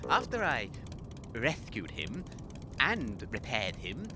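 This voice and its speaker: An eccentric male technomancer played by a man speaks, his pitch leaping about extravagantly. He is grandly polite and theatrical, a comic audio drama character with clear machinery noise behind him. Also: huge pitch swings, slow pace. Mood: hesitant, explanatory. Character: eccentric, courteous wizardly junkyard technomancer. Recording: clear mechanical ambience and vehicle noise.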